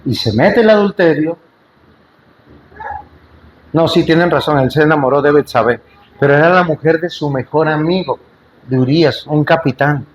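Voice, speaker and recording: A man with a fairly high voice preaching a sermon in a church. He drives each point home with repeated phrases and rhetorical questions to the congregation. He slips in small jokes and asides between them. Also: normal speed, pauses often, slight background noise, rough recording. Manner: emphatic, repetitive rhetorical preaching with questions to listeners.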